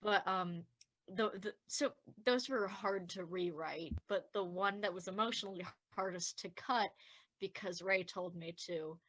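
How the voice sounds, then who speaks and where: A woman with a medium-pitched voice talks casually on a podcast commentary. She speaks as the show's writer, in informal conversation with the group. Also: a little slow, fairly bright tone.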